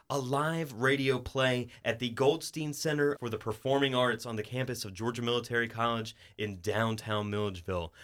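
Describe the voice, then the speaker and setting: A man's voice: medium pitch, fairly bright tone. A man hosting a local radio interview show, giving announcements from a studio.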